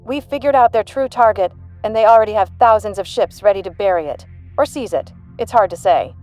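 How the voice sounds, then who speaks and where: A woman's voice: mid-range voice, a little fast, a little mellow, dry recording, faint music behind, natural voice, poor audio. A woman playing a shrewd, unflappable human intelligence general in an audio drama, composed, dry and authoritative. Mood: cool, assured.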